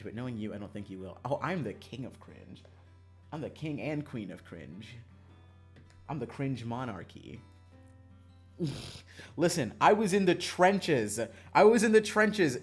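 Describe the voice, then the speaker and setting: Animated man chatting on a livestream. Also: mid-range voice. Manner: animated, sweary, emphatic repetition. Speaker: streamer playing a visual novel. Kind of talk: casual chat with stream viewers.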